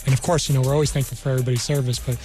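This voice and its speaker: An American man, a guest on a radio interview, explaining things in a measured, halting way. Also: mid-range voice, little pitch movement, darkish tone. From New Mexico, United States. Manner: halting, measured, repeats "you know". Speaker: guest, army career counselor. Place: radio studio. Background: music.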